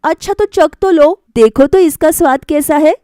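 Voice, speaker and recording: A woman's voice: sits high, moderate pace, dark tone, dry recording, studio quality, pitched above the performer's own voice, natural voice. A woman voicing an earnest young daughter-in-law in a storybook, sweetly insistent and coaxing as she questions. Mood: pleading.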